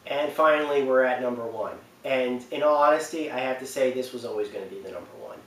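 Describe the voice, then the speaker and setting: A man's voice: medium pitch, fast talker. A man hosting a countdown with casual, unscripted commentary, recorded at a distance in an echoey room with faint noise. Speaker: video countdown host.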